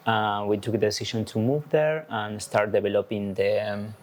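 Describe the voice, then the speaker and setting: Halting low-voiced Argentinian man explaining ideas. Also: fairly even intonation, a little mellow. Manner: halting, searching for words, many restarts. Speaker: artist with a biology background giving a talk. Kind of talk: presenting a talk to an audience.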